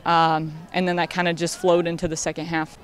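A woman's voice: lowish voice, flat delivery, a little fast. A young woman midfielder speaking candidly in a post-game interview, outdoors at a stadium at night into a handheld mic. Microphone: handheld mic held at chin.

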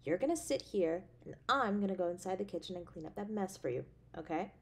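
A woman playing an affectionate, anxious, playfully teasing girlfriend in a romantic audio roleplay, with a tender, expressive delivery. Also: mid-range voice, fairly quick, slightly rough. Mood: teasing, caring. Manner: tender, expressive, with sighs and soft chuckles.

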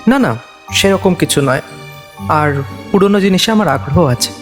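A man narrating a first-person horror tale in a tense, hushed way, also voicing the young teacher. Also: high-pitched, moderate pace, faint music behind.

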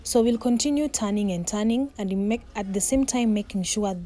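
A woman's voice: mid-range voice, normal speed, mellow. Young female cooking vlogger, East African accent, informal demo delivery, noisy open-air phone sound. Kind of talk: casual cooking demonstration. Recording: noisy outdoor phone recording.

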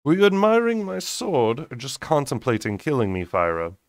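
A man's voice: mid-range voice, wildly swooping pitch, normal speed, no room sound, voice pushed up. A man voicing a smirking, sardonic male fae warrior in a storybook, mocking and teasing. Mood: taunting.

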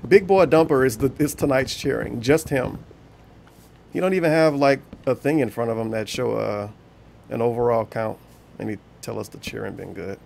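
A man's voice: medium pitch, moderate pace, creaky, darkish tone. A man giving teasing running commentary over a stream, laughing often and reading chat aloud.

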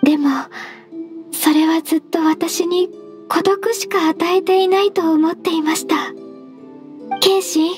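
Casting: gentle immortal half-beast secretary, anime game, extremely high sweet register, polite, unassuming, soft-spoken. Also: female voice. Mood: wistful. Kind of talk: Japanese anime game voice acting. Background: background music.

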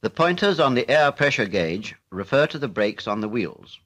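A British man narrating a 1940s military instructional film in a formal, measured, matter-of-fact way. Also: mid-range voice, expressive, a bit bright.

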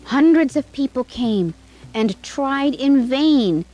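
A woman tells a children's fairytale from a script. Her delivery is expressive and dramatic, with lively swings in pitch, and music plays faintly behind her. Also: slow. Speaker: storyteller.

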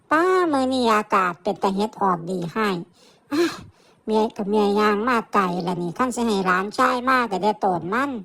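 A man's voice: sits high, bright, forceful tone, pitched above the performer's own voice, full character voice. A man voicing a doting, fussing old village grandmother with an Isan accent in a folk tale. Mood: fond, musing. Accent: Isan, northeastern Thai. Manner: chatty, fussing, folksy.

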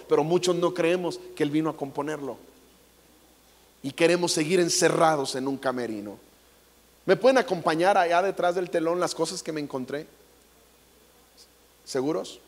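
Playful Mexican preacher, high voice. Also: male voice. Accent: Mexican Spanish. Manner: playful, jokey, acts out quotes. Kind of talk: comedic stage sermon. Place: large theater stage. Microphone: handheld mic. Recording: long hall reverb.

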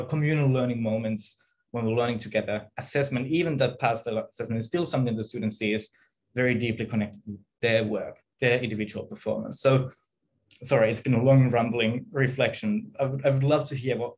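A man's voice: medium pitch, a little fast, a little mellow. A man, thinking aloud and often rephrasing as he puts a question in an academic seminar. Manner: reflective, hesitant, rephrasing often. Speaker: academic, audience member asking a question. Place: indoor room on a video call. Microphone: computer or headset mic on video call.

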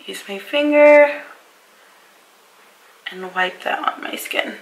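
A woman in her twenties with a hoarse, raspy voice from a cold, chatting casually through a makeup review at her vanity. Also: sits high, lively intonation, slow, slight background noise, rough recording. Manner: chatty, apologetic about her voice. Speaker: beauty vlogger. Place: bedroom vanity, indoors.

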